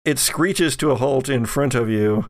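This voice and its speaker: Male game master, mid-pitched with vocal fry, improvised tabletop narration, feeling out each description. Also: normal speed.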